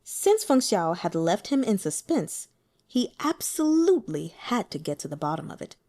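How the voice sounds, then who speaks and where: A woman talking casually through her notes on a book, in a chatty, giggly and animated manner. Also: mid-range voice, moderate pace. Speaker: audiobook reader and book commentator.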